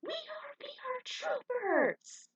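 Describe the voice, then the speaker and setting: A woman's voice: very high-pitched, sing-song, slow, lo-fi sound, pitched above the performer's own voice, heavily acted. A woman voicing a team of young armored hero children calling out their battle cry in a fan fiction story. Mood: rallying battle cry.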